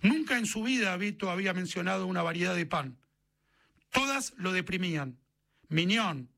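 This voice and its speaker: An Argentine man narrates a literary short story. His voice sits high for a man and has a bright, forward edge. The delivery is scripted storytelling, read as prose.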